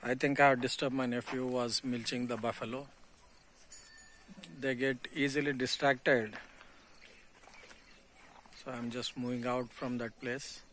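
A man's voice: medium pitch, flat delivery, measured pace, long pauses, fairly bright tone. Middle-aged Indian English male, earnest phone-selfie farm commentary, outdoor hum behind. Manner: earnest, explanatory, preachy asides. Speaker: farm vlogger. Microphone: phone held at arm's length.

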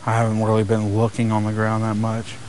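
A man investigating a tunnel at night, talking in low, hushed tones and earnestly coaxing spirits to respond. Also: completely flat delivery, fairly quick, slight background noise. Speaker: paranormal investigator. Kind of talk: casual chat, calling out to spirits. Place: dark tunnel outdoors at night.

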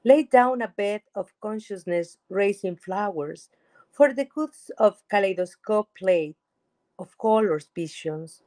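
A middle-aged woman, reciting her poetry slowly and expressively over a video call from a room at home. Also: medium pitch, expressive, mellow. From USA. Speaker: poet. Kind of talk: poetry reading. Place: home room with lamp and shelves. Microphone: webcam on video call.

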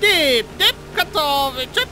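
Silly high-voiced man, slow and swooping. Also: animated, very noisy. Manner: playful, silly, exclamatory. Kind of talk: casual gaming chat. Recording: game voice chat under loud music. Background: loud music and game vehicle sounds.

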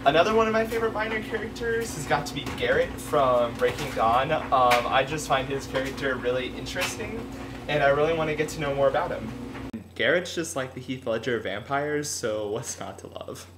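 A young man in his late teens vlogging casually from a room at home on a webcam, energetic and animated. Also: medium pitch, a little fast. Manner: energetic, animated, laughs often. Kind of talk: casual fan vlog. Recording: roomy room echo, faint hiss.

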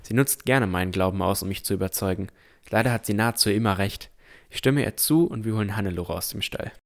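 A man's voice: on the low side, lightly acted. A man playing a cautious, earnest boy who narrates his own fantasy adventure in an audio drama. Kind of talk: first-person scripted narration and dialogue.

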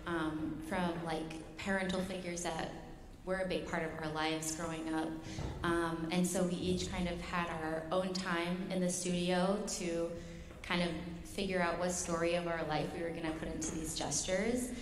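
A woman's voice: on the low side, little pitch movement, normal speed. A young woman, a dancer, explaining casually on a panel. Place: large concert hall stage. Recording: echoey concert hall, clear noise.

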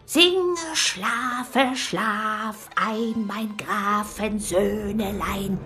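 A woman voicing a bossy old fairy-tale witch with a drawn-out, commanding delivery. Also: mid-range voice, sharp tone, soft background music, pitched above the performer's own voice. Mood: demanding.

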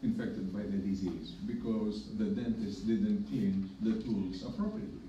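A man's voice: on the low side, expressive, dark tone. Greek accent guy at a podium, recorded from far off, dull sound w/ background hiss. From Greece. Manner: measured, emphatic, with dry humor. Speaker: hepatologist panelist. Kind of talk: conference panel remarks. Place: conference room with projector. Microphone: podium mic, filmed from far in the room. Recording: echoey room from afar, dull and noisy.

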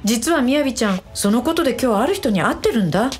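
A woman's voice: a little high, lively intonation, fast talker, soft background music, close to an everyday voice. A woman playing a cheeky young marriage agency staffer in a radio drama, casual, blunt and teasing. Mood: sly.

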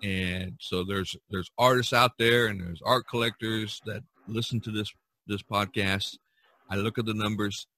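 A man hosting a casual podcast over a video call, talking in a rambling, animated way. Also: on the low side, unhurried, a little hiss or hum. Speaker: podcast host. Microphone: video call microphone.

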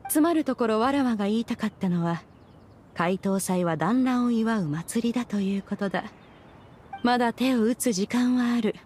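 A woman's voice: fairly high pitch, normal speed, darkish tone, slight background noise, close to an everyday voice. A woman voicing a proud, regal ancient immortal in an anime game, a stern but caring master with stately, archaic diction. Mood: grave, resolute.

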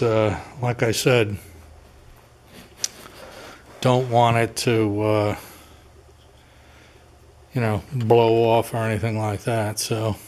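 A middle-aged man casually narrating his home repair work, unhurried and rambling with frequent uhs and throat clearing, recorded on a handheld camera in his garage workshop. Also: fairly low pitch, darkish tone. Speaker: DIY home repair vlogger. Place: garage workshop and attic crawl space, indoors.

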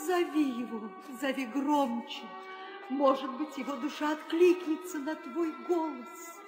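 High, plaintive royal wife. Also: female voice, a little slow. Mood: urgent pleading. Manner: plaintive, declamatory. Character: despairing royal wife and mother, wailing, frantic. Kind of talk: 1960s Soviet theatrical audio drama acting. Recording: echoey old recording with music.

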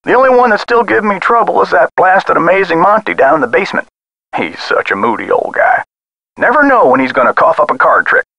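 Husky, animated folksy caretaker. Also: male voice, sits high, a little fast, no room sound, highs cut off, heavily acted. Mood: friendly, chatty. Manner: folksy, hesitant, chatty. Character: folksy, friendly male theater caretaker and tinkerer. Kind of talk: video game voice acting.

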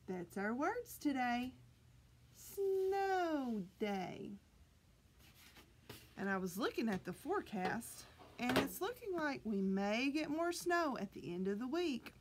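A middle-aged woman leads story time for little children. Her voice sits high and swings widely in pitch. She takes her time, sounding out words carefully with animated emphasis. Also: slight background noise. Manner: deliberate, animated, exaggerated enunciation for children. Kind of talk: expressive picture-book read-aloud for young children. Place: small library children's room, indoors.

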